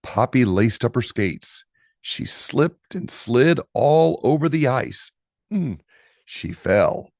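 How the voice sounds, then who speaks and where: A man reading a children's storybook aloud expressively, with dramatic pauses and drawn-out key words. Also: mid-range voice, sing-song, gravelly, darkish tone, no room sound, clean studio sound. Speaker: storybook reader.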